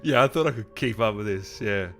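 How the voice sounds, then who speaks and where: A man's voice: lowish voice, lively intonation, a little fast, fairly bright tone, soft background music. A man hosting a livestream, chatting informally and jokingly with his viewers in a matey way. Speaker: livestream host reading viewer comments. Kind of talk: casual livestream chat with viewers.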